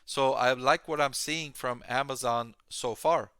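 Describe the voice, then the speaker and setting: A man hosting a stock analysis, explaining financial results to viewers plainly and deliberately. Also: mid-range voice, fairly even intonation, sharp tone, smooth, dry recording.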